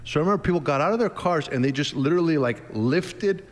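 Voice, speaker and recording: A man in his thirties who grew up in Greece, a podcast guest telling lively stories about his travels and his faith, laughing as he goes. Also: on the high side, fast talker, in a large hall. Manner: energetic, laughs through stories, faith-driven. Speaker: podcast guest, youth ministry worker. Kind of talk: casual storytelling.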